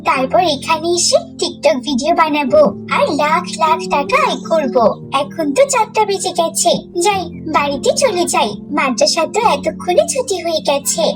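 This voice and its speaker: Lady doing a tiny high little cartoon schoolgirl, eager n sly, music playing behind. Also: normal speed, rough recording. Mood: scheming, excited. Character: sly young schoolgirl daughter, eager and fibbing. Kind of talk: children's moral cartoon voice acting.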